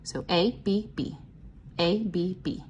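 A woman reading a picture book aloud to children and explaining it simply, in a sing-song, teacherly way with clear enunciation. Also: lowish voice, steady tone, slow, darkish tone, muffled recording. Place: indoors, at a table.

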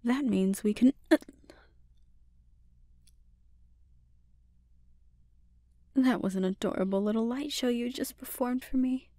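A woman voicing a calmly dominant, taunting sorceress villain in an intimate ASMR roleplay, speaking deliberately and lapsing into breathy whispers. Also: on the high side, no echo, studio quality, close to an everyday voice. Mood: mocking, composed.

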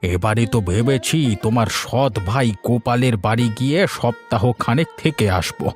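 Guy doing a sly freeloader husband in a cartoon, smug, mid-pitched, faint music behind. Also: expressive, normal speed, natural voice. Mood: scheming. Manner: smug, wheedling. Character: crafty, freeloading, self-satisfied village husband. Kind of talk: Bengali fairy-tale cartoon voice acting.

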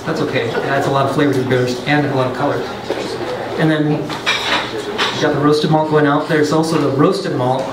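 A fairly high-voiced man gives a loose, joking lecture in a pub. He sits back from the microphone and the room rings around him. Loud bar noise runs steadily underneath. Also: fairly even intonation, normal speed, far from the mic. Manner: loose and chatty, joking asides, frequent restarts. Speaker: brewer giving a science talk. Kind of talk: casual lecture to a pub audience. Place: pub with an audience. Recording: roomy, off-mic, loud background.

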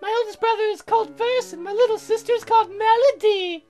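A woman voicing a brash, excitable young female songwriter in a visual novel, with theatrical exclamations. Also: very high-pitched, brisk, faint music behind, voice pushed up. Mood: chirpy, polite.

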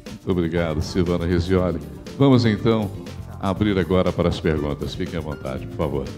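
Deep-voiced man formally announcing an event. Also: brisk, over music. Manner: formal, ceremonious. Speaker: master of ceremonies. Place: auditorium stage at a podium. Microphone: handheld microphone.

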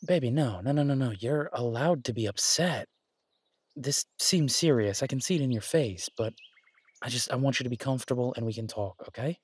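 A man's voice: medium pitch, fairly quick, slightly rough, no room sound, natural voice. A man playing a caring, easygoing boyfriend in an intimate audio roleplay, talking in a hesitant, reassuring, conversational way. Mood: concerned, soothing. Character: caring, easygoing human boyfriend of a werewolf woman.

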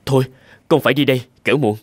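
A man's voice: mid-range voice, very fast, lightly acted. A man playing an earnest, proud young businessman with a dramatic way of speaking in a cartoon drama. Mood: businesslike, polite. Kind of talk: dubbed cartoon drama acting. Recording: long reverb, loud music underneath.

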